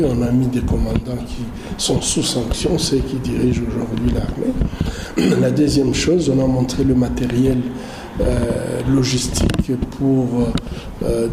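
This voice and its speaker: A man giving political commentary in an interview, speaking deliberately and often searching for words. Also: medium pitch, steady tone. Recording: roomy echo, clearly noisy background.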